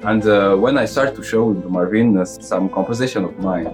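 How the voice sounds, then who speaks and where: A man with a Tunisian accent speaking halting non-native English in a casual interview as a musician. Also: on the low side, music in the background. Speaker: musician interviewee, oud player and violinist.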